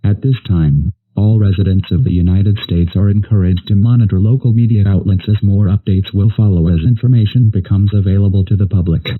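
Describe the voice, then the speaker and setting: Deep synthetic man on a tinny radio band. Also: fairly quick, a little hiss or hum. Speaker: emergency alert announcer. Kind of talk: scripted emergency alert announcement.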